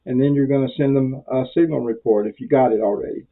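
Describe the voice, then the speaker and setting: A man's voice: medium pitch, fast talker, narrow, tinny audio. An American man giving an informal tutorial as an instructor, talking in a rambling, conversational, self-deprecating way. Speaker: amateur radio instructor.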